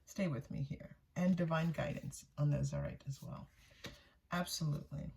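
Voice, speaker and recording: A woman's voice: sits low, fairly even intonation, moderate pace, vocal fry, darkish tone, slight background noise. A woman giving a casual, intuitive tarot reading for viewers, often repeating phrases for emphasis. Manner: voices another person's thoughts in first person. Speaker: tarot reader, channel host.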